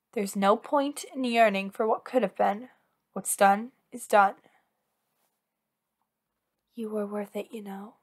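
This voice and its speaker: A woman's voice: medium pitch, natural voice. A woman playing an anxious, possessive villain lover in an intimate ASMR roleplay, speaking in an earnest, pleading, insistent way. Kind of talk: ASMR roleplay acting, one-sided dialogue.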